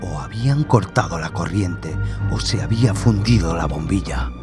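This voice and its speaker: A man narrating a scripted horror story in a measured, suspenseful manner with dramatic pauses. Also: low voice, expressive. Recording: loud music under the voice. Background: loud music bed.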